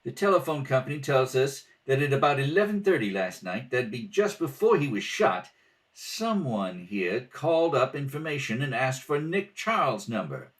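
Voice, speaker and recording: A man performs a gruff police lieutenant in a vintage radio mystery. His delivery is brisk and businesslike, with lively, animated pitch in a middle range. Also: natural voice. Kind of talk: 1940s radio mystery acting, rehearsal read-through.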